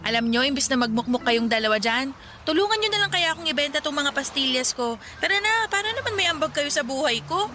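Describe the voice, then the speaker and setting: A woman's voice: sits high, expressive, fast talker, noisy background. A woman playing a spirited, cheerful young street vendor in a Filipino radio drama, sassy and bantering. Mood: teasing.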